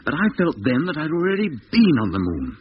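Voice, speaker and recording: An actor playing an earnest, sometimes dazed moon expedition captain in a 1950s radio drama. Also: male voice, on the high side, wildly swooping pitch, a little fast. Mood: dazed, wondering. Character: rocket ship captain, dazed yet commanding male moon explorer. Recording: dull, hissy 1950s radio recording.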